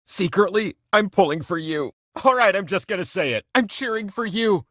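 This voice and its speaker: A man's voice: high voice, expressive, normal speed, fairly bright tone, no echo, clean studio sound. A man voicing a giant talking prize wheel in a video game, a grandiose, teasing host who slips between proclamations and chatty asides. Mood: encouraging. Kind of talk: theatrical video game voice-over.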